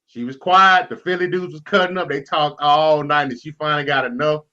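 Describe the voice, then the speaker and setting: Texan male host, slangy laughing storyteller, bright edge, muffled lo-fi audio. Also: fairly high pitch, fairly even intonation, fairly quick. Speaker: show host. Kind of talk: casual sports chat.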